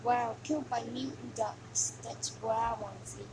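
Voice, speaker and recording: A woman's voice: fairly high pitch, fairly even intonation, natural voice. A woman voicing a mocking, sneering demon who taunts the player in amateur video game voice lines. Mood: bored, exasperated contempt. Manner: taunting, scornful, drawn-out sighing delivery. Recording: noisy amateur home recording.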